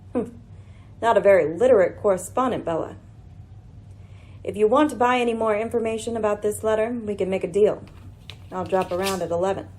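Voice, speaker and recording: A woman's voice: mid-range voice, a little mellow, lightly acted. Woman playing a shrewd, self-possessed lady detective. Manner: measured, probing, dryly ironic. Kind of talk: old-time radio mystery acting.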